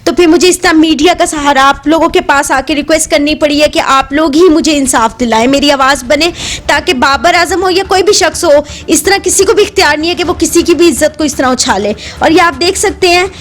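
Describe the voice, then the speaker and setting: A woman's voice: high voice, a little fast. A woman with a Pakistani accent explains her side as an interview guest.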